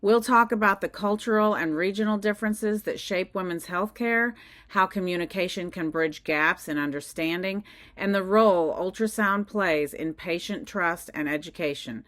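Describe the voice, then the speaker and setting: A woman in her fifties hosting a podcast, delivering a polished scripted intro and interview questions in an even voice. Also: on the low side, normal speed, no echo. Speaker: podcast host, sonographer. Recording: dry close mic with faint music. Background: faint music.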